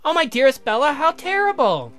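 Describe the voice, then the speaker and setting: A very high, genteel lady voiced by a man in a gothic romance game. She sounds amazed and questions politely, with music playing behind her. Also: huge pitch swings, brisk, a bit bright, exaggerated voice. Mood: surprised sympathy. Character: astonished genteel young lady, the count's beloved.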